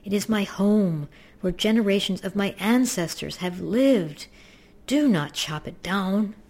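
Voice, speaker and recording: A woman's voice: medium pitch, unhurried, darkish tone, voice pushed up. A woman voicing a huge male boa in a storybook, solemn and protective, with a hissing manner. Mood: solemn warning. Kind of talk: storybook character voice.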